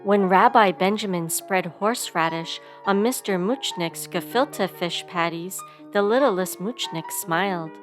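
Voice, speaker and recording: A woman's voice: medium pitch, unhurried, hardly any pauses. A woman reading a children's story aloud expressively, giving sound words theatrical emphasis, with instrumental music behind her. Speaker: storybook reader.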